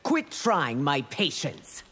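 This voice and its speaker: A woman voicing a stern, imperious mature empress with a husky voice, speaking formally in a video game. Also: sits low, wildly swooping pitch, takes it slowly, fairly bright tone, exaggerated voice. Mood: stern, threatening. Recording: long hall reverb.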